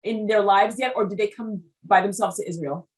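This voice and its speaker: A woman hosting an interview, chatty and animated, often repeating words as she jumps in. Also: mid-range voice, brisk, far from the mic. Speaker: interview host. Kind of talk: casual conversational interview.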